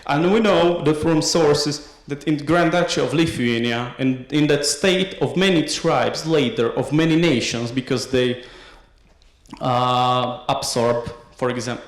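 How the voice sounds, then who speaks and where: A young man with a Slovak accent giving an academic conference talk, hesitant, with many filled pauses and restarts. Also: medium pitch. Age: young adult. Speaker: conference presenter. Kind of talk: academic lecture. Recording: echoey room.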